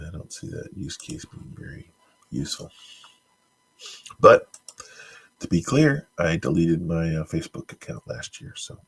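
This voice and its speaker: A man's voice: low voice, creaky, husky, poor audio. A man who says he is congested, hosting a stream where he reads tech news aloud and adds dry, wry asides. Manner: dry, wry asides between stumbling read-outs. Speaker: stream host. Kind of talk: reading tech news aloud with offhand commentary.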